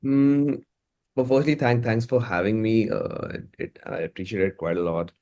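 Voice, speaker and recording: A man talking casually as an interview guest, halting and repeating words as he thinks aloud. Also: on the low side, expressive, gravelly, dark tone. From India. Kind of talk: casual, reflective interview talk.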